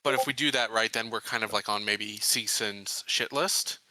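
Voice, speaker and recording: Male game player with a strikingly sharp, bright tone, chatty and tentative in his suggestions, close dry audio. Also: lowish voice, moderate pace, no echo, poor audio. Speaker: tabletop roleplaying player. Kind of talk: casual game discussion.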